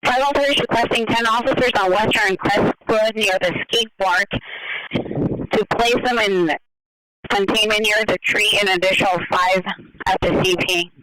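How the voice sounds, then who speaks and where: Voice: mid-range voice, moderate pace, pushed and bright, a little hiss or hum, poor audio. A voice speaking over police radio, heard on a scanner.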